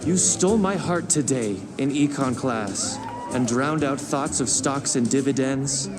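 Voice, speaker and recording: A man playing an earnest, lovelorn college student reciting poetry in a video game, deliberate and theatrical. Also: medium pitch, crowd noise, lightly acted. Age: young adult. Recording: music behind the voice.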